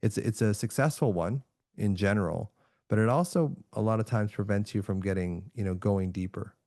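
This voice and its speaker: Gravelly low-voiced calm man. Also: moderate pace, dry recording, studio quality. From Canada. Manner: calm, reflective, soft-spoken with frequent restarts. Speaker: podcast guest, author and neuroscientist. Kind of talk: relaxed remote podcast interview.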